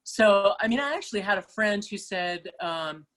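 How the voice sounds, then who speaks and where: A middle-aged woman opera singer, speaking as a guest in a relaxed interview, thoughtful and full of small restarts and fillers. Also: mid-range voice, normal speed. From Malaysia. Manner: thoughtful, many restarts and fillers, laughs easily. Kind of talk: casual interview chat.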